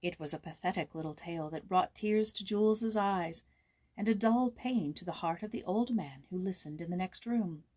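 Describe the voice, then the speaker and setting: A woman narrating a storybook aloud in an expressive, dramatic reading style. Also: on the low side, normal speed. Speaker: story reader, voice actor.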